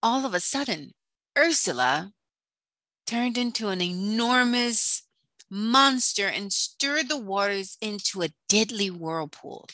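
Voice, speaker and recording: A woman narrating a scripted children's storybook in a deliberate read-aloud manner. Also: mid-range voice, expressive, sharp tone, dry recording.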